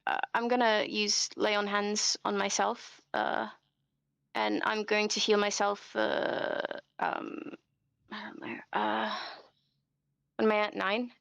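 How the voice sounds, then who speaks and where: A woman's voice: mid-range voice, level tone, slow pace, creaky, a bit bright, no room sound, clean studio sound. A woman playing a tabletop game, hesitantly thinking aloud in a casual way over slightly lo-fi voice chat.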